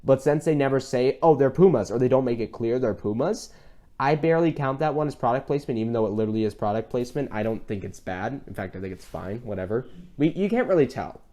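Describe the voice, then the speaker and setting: A man co-hosts a laid-back review podcast. He speaks at a middling pitch and wanders through his points in a halting, rambling way, often doubling back to restart a thought. The delivery is conversational and unscripted. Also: animated, dark tone. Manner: rambling, halting, circles back mid-sentence. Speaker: podcast host. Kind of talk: casual film review discussion.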